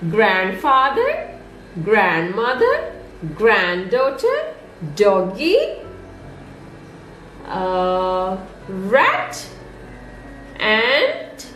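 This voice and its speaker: Woman, nursery storyteller, slow pace, expressive sing-song delivery, echoey room. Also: high-pitched. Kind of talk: children's story read-aloud. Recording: roomy echo, music underneath.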